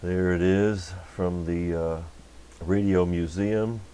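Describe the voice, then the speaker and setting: A man's voice: deep voice, fairly even intonation, takes it slowly, dark tone, clear, resonant tone. A man talking in an informal, folksy, rambling way as he hosts a casual workbench demonstration. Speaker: host of a radio repair channel.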